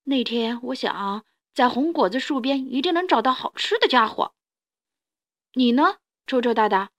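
A woman voicing a big male Tyrannosaurus in a storybook, a gruff, hungry loner with a soft heart, blunt and halting. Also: sits high.

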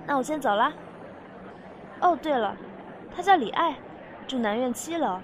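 Casting: actress as outgoing young student guide for radio drama, high voice, chatty, clear ambient noise behind. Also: female voice, animated, moderate pace. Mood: breezy. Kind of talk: audio drama acting.

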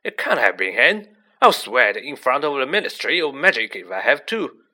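A man plays a huge, loyal, shaggy groundskeeper in a storybook reading. He is loud and blustering, with swooping pitch and a forceful edge. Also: very animated, a little slow, husky, exaggerated voice. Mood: agitated, urgent. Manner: loud, urgent, ranting.

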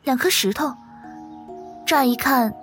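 A woman playing a gentle, caring young disciple in a Chinese martial-arts audio drama. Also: sits high, measured pace, faint music behind. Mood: teasing, fond. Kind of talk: Chinese period radio drama acting.

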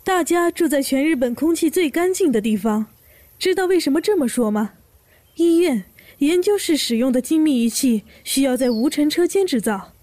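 A woman playing a proud schoolteacher, lecturing in a measured, didactic way in an audio drama. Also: sits high, lively intonation, dark tone, no echo. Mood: proud, instructive. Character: proud, instructive primary school teacher, woman.

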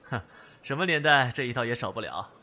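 A man playing a dutiful secretary to a spy chief, deferential and crisp, in a radio drama. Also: medium pitch, expressive, fairly quick, sharp tone. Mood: brisk.

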